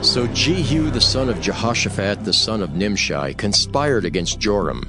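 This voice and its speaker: A man's voice: lowish voice, lively intonation, normal speed. A man narrating scripture as a solemn storyteller in a dramatized reading. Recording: music under the voice.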